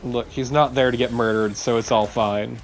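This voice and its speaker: Mid-pitched man, casual sweary game commentary. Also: steady tone, moderate pace, soft background music. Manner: joking, sweary. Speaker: let's play commentator.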